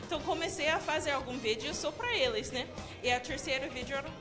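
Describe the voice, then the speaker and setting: A woman's voice: sits high, fast talker, over music. American woman laughing through learner's speech. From United States. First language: English. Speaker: guest youtuber. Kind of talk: interview answers. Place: indoor studio set. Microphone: handheld mic held by interviewer.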